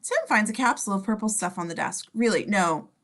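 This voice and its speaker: A woman's voice: medium pitch, expressive, a little fast. A woman reading scene descriptions for a table read, informal and given to wry asides. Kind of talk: scripted narration in casual table read.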